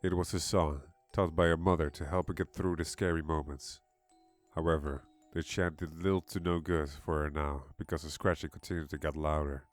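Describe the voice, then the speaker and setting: A man narrating a scripted horror story in a measured, dramatic manner. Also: extremely low pitch, steady tone, dry recording. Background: background music.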